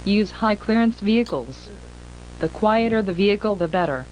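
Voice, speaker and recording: A woman performs an emergency broadcast announcer for analog horror, grave and impersonal. Her mid-pitched voice delivers formal, measured warnings as scripted alerts. A faint humming noise sits under the recording. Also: lively intonation, a little mellow, natural voice, poor audio. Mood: instructive.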